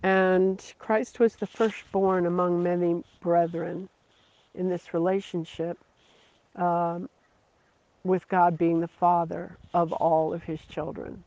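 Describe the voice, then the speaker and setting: A preacher giving a slow, earnest devotional sermon, repeating phrases for emphasis. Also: medium pitch, level tone, a little mellow. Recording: dull lo-fi recording.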